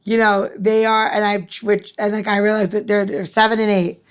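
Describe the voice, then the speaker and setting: A woman's voice: medium pitch, little pitch movement, normal speed, clear, resonant tone. A woman in her thirties hosting a podcast, chatting casually and laughing as she acts out little quoted voices. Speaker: podcast host.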